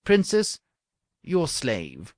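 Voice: mid-range voice, huge pitch swings, drawn-out words, a little mellow, no room sound, studio quality, full character voice. An audiobook reader voicing a lovestruck young king who talks in flowery, old-fashioned phrases. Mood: earnest.

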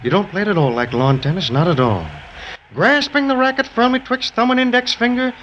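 A man playing a chatty, forgetful, genial snowman gentleman in a 1930s children's radio serial, pompous and flowery, repeating his own phrases. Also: high voice, a little fast, lightly acted. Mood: jovial, rambling. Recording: muffled, noisy 1930s radio recording.

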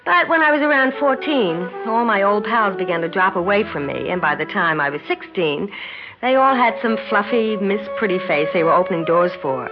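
An actress playing a brash, wounded nineteen-year-old tomboy athlete in a 1960s radio drama, blunt, slangy and defensive. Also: female voice, medium pitch, lively intonation, moderate pace, close to an everyday voice. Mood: wry, hurt. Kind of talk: 1960s radio drama acting with first-person narration. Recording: muffled old radio recording with music.

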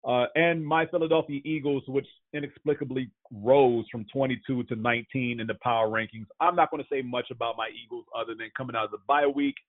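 A man's voice: medium pitch, steady tone, quick pace, hardly any pauses, dry recording. A man co-hosting a casual sports podcast, going over injury news and power rankings. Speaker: podcast co-host. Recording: tinny phone-like dry sound.

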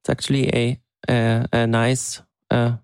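Guy chatting on a podcast, creaky voice, thinks as he goes, very dry recording. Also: mid-range voice, completely flat delivery, unhurried, darkish tone, no room sound. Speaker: podcast co-host. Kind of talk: casual chat.

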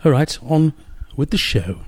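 A man's voice: a little high, lively intonation, measured pace, slightly rough. A man from New Zealand with a Kiwi accent, hosting a casual interview chat from his small apartment, rambling with many restarts. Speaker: podcast host. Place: small rented studio apartment.

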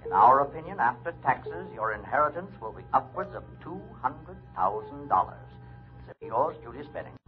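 An actor playing a businesslike lawyer in a 1950s radio drama, declaiming an official letter in measured, formal tones. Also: male voice, medium pitch, very animated, lightly acted. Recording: dull vintage radio, music behind.

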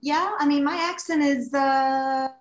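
A middle-aged woman with a thick Southern Gulf Coast accent chats as a podcast guest. Her voice is fairly high and friendly, and she laughs often. The recording sounds echoey and roomy. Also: fairly even intonation, a little slow. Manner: warm, laughing, says honey. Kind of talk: casual interview chat.

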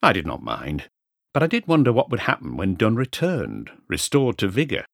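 A man reading a Victorian mystery novel aloud in a measured, literary storytelling manner. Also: lowish voice, huge pitch swings, vocal fry, no room sound, clean studio sound. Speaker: audiobook narrator. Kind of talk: scripted first-person narration of a Victorian mystery novel.

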